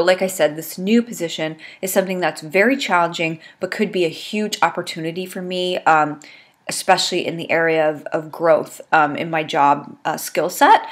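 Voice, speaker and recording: A woman in her thirties with a low, stuffed-up voice talks to her webcam from a small room at home. Her delivery is chatty and rambling, with lots of ums between thoughts. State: congested. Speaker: beauty vlogger. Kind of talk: casual chat to camera. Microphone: webcam at desk.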